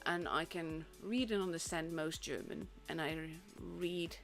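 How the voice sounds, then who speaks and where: A woman's voice: low-pitched, fairly even intonation, unhurried, gravelly, a bit bright. A young woman with a Dutch accent, a native Dutch speaker, chatting casually while commentating a tournament on her live stream, rambling with fillers and restarts. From Netherlands. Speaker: esports caster and streamer. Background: faint stream music.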